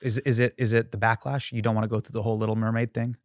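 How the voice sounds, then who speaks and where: Quick-witted comedic host, man in his forties. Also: fairly low pitch, flat delivery, dry recording, studio quality. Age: 40s. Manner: quick, playful, punchline-driven delivery. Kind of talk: comedic monologue and interview.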